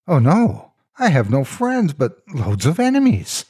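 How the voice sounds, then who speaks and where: A hapless, down-and-out hobo from a comic horror tale, acted out by a male narrator. He pleads and protests in melodramatic fashion, with the pitch pushed up and swooping widely over a husky grain. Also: wildly swooping pitch, unhurried, warm tone, full character voice. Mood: dismayed. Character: Roger, hapless hobo ex-banker, pleading and dramatic.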